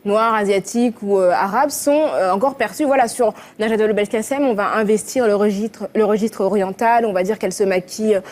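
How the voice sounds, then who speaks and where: A woman with a Parisian accent, speaking as a guest author on a TV panel, quick and animated with many restarts. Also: mid-range voice. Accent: Parisian French. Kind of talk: TV panel discussion.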